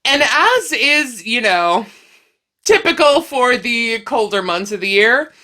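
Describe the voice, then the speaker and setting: A woman's voice: a little high, big pitch swings, takes it slowly, bright, forceful tone. A woman hosting a crochet vlog in a casual, playful, chatty way, sounding slightly stuffed up with a cold. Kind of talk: casual chatty talk to camera.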